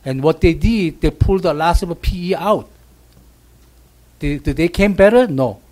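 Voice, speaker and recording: A man on a school board gives comments in a meeting, stopping and restarting as he searches for his words. His voice sits a little higher than most men's. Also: normal speed, a little mellow.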